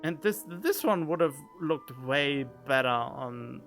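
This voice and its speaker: Slow-paced, animated male game streamer. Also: fairly high pitch. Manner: musing aloud, talking back to the game. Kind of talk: casual playthrough commentary. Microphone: close studio condenser microphone. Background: game music.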